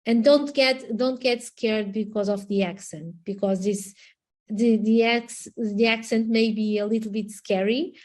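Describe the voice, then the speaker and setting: A woman's voice: on the high side, fairly even intonation, measured pace, darkish tone. A Portuguese woman lawyer with a Portuguese accent, answering webinar questions hesitantly with many restarts over a video call. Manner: hesitant, frequent restarts and repetitions. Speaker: immigration lawyer.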